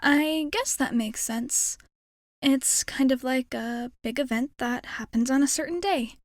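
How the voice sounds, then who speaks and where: A woman's voice: high voice, a little slow, dry recording, clean studio sound. A woman playing a shy, kind young alien girl in an intimate ASMR roleplay, speaking in a hesitant, earnest, rambling way. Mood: nervous, hopeful.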